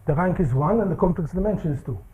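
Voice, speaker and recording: A man giving a technical seminar lecture in a seminar room, with a soft voice, halting speech and many fillers. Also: sits high, expressive, slightly rough, rough recording.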